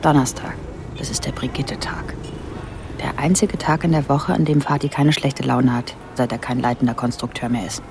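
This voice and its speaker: Creaky-voiced, wry young daughter. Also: female voice, very deep voice, steady tone, moderate pace, background noise. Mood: wry, resentful. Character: wry young German daughter stuck doing housework. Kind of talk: radio drama acting with inner monologue.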